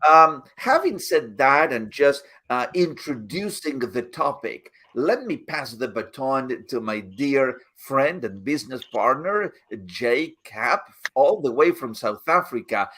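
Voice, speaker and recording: Man, motivational host, lively rising and falling pitch, slow deliberate delivery, repeats himself. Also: husky. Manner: earnest, repeats phrases. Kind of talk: motivational live talk.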